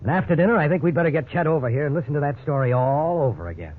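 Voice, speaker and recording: An actor playing an amiable, worried middle-aged husband in a 1950s radio drama. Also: male voice, a little high, lively intonation, racing, close to an everyday voice. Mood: concerned. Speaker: character narrator. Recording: tinny, hissy 1950s radio recording.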